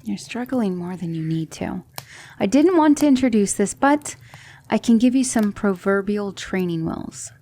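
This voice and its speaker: A woman playing a patient, composed demon magic teacher in a soft-spoken ASMR roleplay, measured and reassuring. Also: mid-range voice, expressive, a little hiss or hum, lightly acted. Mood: coaxing, instructive. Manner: measured, instructive, reassuring, with hushed breathy dips.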